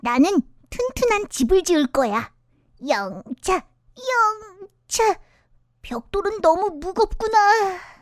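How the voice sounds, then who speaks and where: Woman as an earnest, high-voiced little piglet. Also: slow, no echo, studio quality, exaggerated voice. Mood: determined, straining. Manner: straining, earnest, expressive. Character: diligent, earnest young piglet, youngest brother. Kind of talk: children's storybook character voice.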